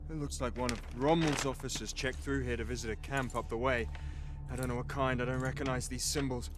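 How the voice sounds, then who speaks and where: An actor playing a dutiful, dry-witted soldier with a clipped manner in a war game. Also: male voice, medium pitch, fairly even intonation, brisk, natural voice. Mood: wary. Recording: loud battlefield and vehicle noise.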